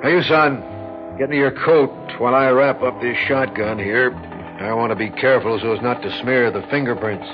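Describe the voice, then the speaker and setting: A man's voice: mid-range voice, moderate pace, over music, close to an everyday voice. An actor playing a shrewd, courteous rural Southern lawman in a 1960s radio drama, easygoing and polite but pointed. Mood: calm authority. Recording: dull, slightly noisy 1960s radio recording.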